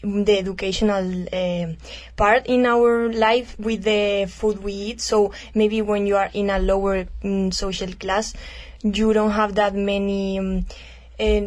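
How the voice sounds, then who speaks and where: A woman's voice: mid-range voice, flat delivery, measured pace, darkish tone. A young woman hosting a casual volunteer radio show, friendly, and hesitant with fillers when giving her own opinion. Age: young adult.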